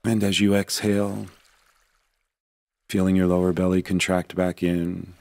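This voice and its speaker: A man narrating a guided meditation in a gentle, unhurried, soothing manner. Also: low voice, level tone, creaky, dry recording.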